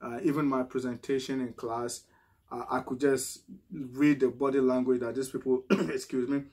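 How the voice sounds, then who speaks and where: A man's voice: medium pitch, little pitch movement, normal speed, darkish tone, slightly rough. Ghanaian man giving casual advice. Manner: conversational, frequent restarts and "right?" tag questions. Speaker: vlogger.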